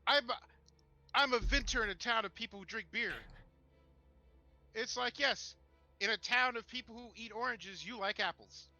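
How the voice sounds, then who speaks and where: A man co-hosting a tabletop gaming discussion show, talking casually and opinionatedly in a halting, emphatic way with sarcastic asides. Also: very high voice, sharp tone, dull audio, faint music behind.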